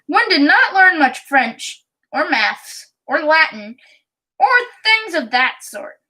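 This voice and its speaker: A woman reads a children's story aloud in a high, bright voice. She goes slowly and carefully, with a halting delivery. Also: big pitch swings, poor audio. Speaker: audiobook reader.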